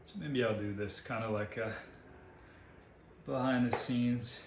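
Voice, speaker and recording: A man's voice: mid-range voice, steady tone, measured pace, background noise. A man whose first language is Portuguese, giving a casual vlog update about his art, hesitant and self-deprecating with frequent fillers. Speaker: artist giving a channel update.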